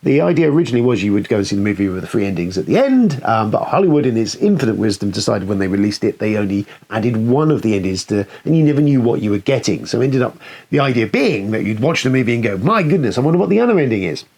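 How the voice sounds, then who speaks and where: A man's voice: mid-range voice, very animated, quick pace, a little mellow. A British man hosting a board game video, commenting in a casual, rambling, sweary and self-deprecating way. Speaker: board game review show host.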